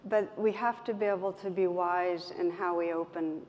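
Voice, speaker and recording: A woman with a soft voice speaking gently and reflectively as a meditation teacher, in measured phrases with pauses. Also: fairly low pitch, steady tone. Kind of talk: reflective talk in an on-stage conversation. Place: event stage in a hall. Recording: roomy hall, muffled, background noise.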